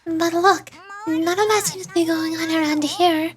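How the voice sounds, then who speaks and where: Game fairy companion performed by a woman: small, curious, chatty girl, pitched well up high. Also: steady tone, normal speed, soft background music. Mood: concerned. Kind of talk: video game dialogue read aloud.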